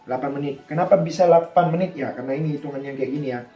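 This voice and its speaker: A man's voice: on the high side, racing, dull audio. A man giving an informal, explanatory tutorial, chatting and thinking aloud as he poses questions and answers them. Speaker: air traffic controller presenting a tutorial. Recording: echoey room with background music.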